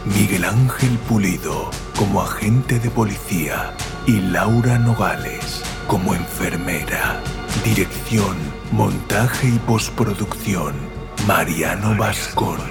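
Male credits announcer, low creaky voice, scripted read over loud music. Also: slow.

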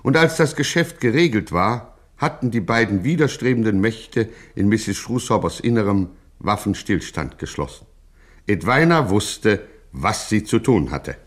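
A man's voice: lowish voice, expressive, measured pace. A man narrating a scripted radio satire in a dramatic, solemnly pompous, mock-heroic manner.

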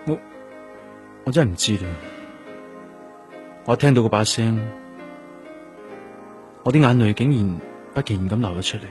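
Male actor as brooding cop in a radio drama, lowish voice, grieving but stubborn, faint music under. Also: normal speed, long pauses, natural voice. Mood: sorrowful. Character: brooding, grieving, determined male police detective. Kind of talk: Cantonese radio drama acting, introspective narration.

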